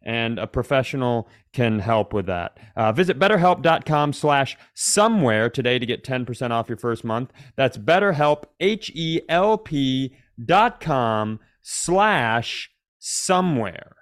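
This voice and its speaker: A man's voice: medium pitch, big pitch swings, measured pace, fairly bright tone, dry recording, clean studio sound. A man co-hosting a comedy podcast, chatting casually in a rambling, bantering storyteller's way.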